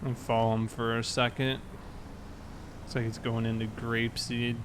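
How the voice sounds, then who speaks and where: A man's voice: medium pitch, little pitch movement, normal speed. Man, mid-range voice, livestreamer, casual chat while gaming, faint vehicle noise. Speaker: livestreamer playing a police officer.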